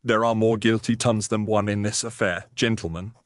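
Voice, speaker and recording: A man's voice: on the low side, fairly even intonation, a little fast, dry recording, natural voice. A British man playing a stern Victorian police inspector, speaking formally and officially in an audio mystery. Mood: stern, grave. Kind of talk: audiobook character acting.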